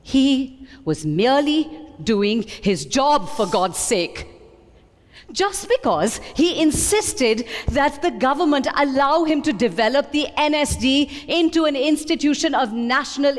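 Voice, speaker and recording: A woman giving a dramatic book reading, with measured, expressive, theatrical delivery. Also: sits high. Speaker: reader at a book event. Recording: reverberant hall.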